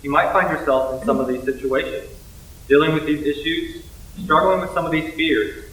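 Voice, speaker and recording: A man's voice: fairly high pitch, moderate pace, a bit bright. A young man delivering scripted readers theater lines as a student performer, a little distant in an echoing room. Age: young adult. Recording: echoey, distant from the mic.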